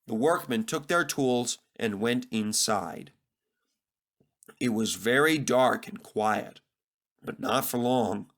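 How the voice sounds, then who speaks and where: A man reading a children's story aloud as narrator, in an expressive, dramatic, scripted style. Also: medium pitch, slow pace.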